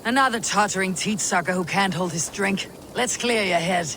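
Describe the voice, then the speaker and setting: A woman's voice: medium pitch, moderate pace, slight background noise, close to an everyday voice, low-quality recording. A woman playing a blunt, steely Viking warrior in a video game, terse and dry in manner. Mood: impatient, stern. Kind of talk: video game character acting. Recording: loud music behind the voice.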